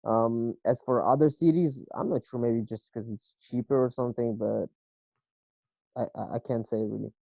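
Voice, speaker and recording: A man in his late twenties with an Israeli accent, a native Hebrew speaker, answering interview questions in a halting way with many fillers. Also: medium pitch, steady tone, gravelly, warm tone, no echo, dull audio. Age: late 20s. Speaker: guest boxer.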